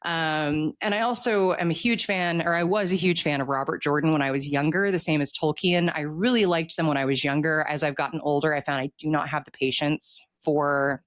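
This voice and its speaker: A woman's voice: deep voice, fairly quick, few pauses, no echo, studio quality. A middle-aged American woman, an author, chatting informally in an interview and acting out little quoted reactions. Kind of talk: casual interview chat.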